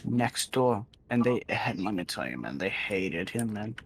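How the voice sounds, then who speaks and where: A man telling improvised stories casually, rambling and halting, often repeating his phrases. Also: on the low side, big pitch swings, gravelly, a little hiss or hum. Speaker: storyteller in a gaming video. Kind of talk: casual improvised storytelling while gaming.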